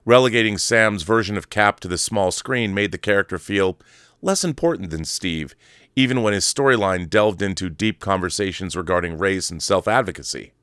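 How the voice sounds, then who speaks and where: A man's voice: deep voice, moderate pace, a bit bright, clear, resonant tone, no room sound, clean studio sound. A man hosting a solo podcast, talking casually and reading aloud with a theatrical, wordy showman's manner. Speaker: podcast host.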